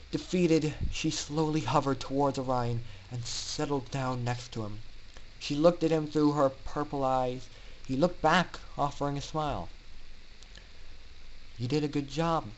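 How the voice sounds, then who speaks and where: A man's voice: on the high side, slight background noise, low-quality recording. A man narrating a fan fiction story in a dramatic reading style.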